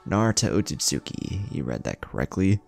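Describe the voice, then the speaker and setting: A man's voice: low voice, very animated, brisk, vocal fry, soft background music. A man reading scripted story narration as the narrator.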